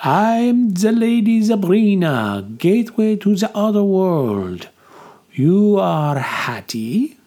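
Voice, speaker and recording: A mysterious carnival fortune-teller woman speaks in a husky, raised voice, put on by a man reading a storybook. She drawls her grand pronouncements slowly and solemnly. Her voice carries a strange, exotic lilt. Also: high voice, very animated, warm tone, pitched above the performer's own voice, heavily acted. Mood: mystical.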